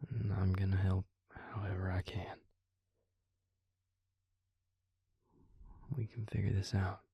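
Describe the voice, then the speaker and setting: A man playing a gentle, protective vampire with a Southern US accent in an intimate audio roleplay, speaking in a breathy, gravelly, soothing half-whisper. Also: low-pitched, no room sound, exaggerated voice. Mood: tender, reassuring. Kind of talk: intimate scripted audio roleplay, addressing the listener.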